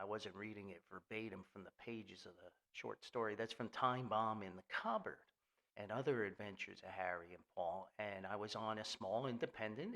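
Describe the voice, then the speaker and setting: An older American man hosting a podcast in a rambling, nostalgic monologue, folksy, halting and enthusiastic. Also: fairly low pitch, fairly bright tone. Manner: folksy, halting, enthusiastic, refers to himself by name. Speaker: podcast host.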